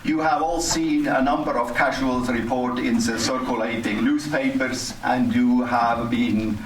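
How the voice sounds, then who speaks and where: An older man giving a formal, scholarly prepared address at a public meeting, speaking haltingly. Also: mid-range voice, fairly even intonation. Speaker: resident speaking at public comment, cancer researcher. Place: community center meeting hall. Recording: roomy, distant mic, faint noise.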